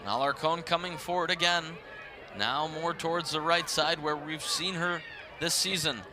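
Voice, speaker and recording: A man's voice: on the high side, steady tone, normal speed, crowd noise. A man calling live sports play-by-play in a live commentary style. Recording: noisy live stadium broadcast. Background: stadium ambience.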